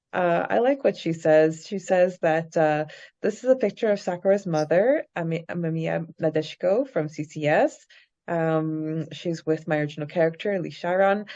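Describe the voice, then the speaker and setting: A woman co-hosting a fan podcast, chatting casually in a hesitant, halting way. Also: low-pitched, darkish tone. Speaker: podcast co-host. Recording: slightly dull audio, faint music behind.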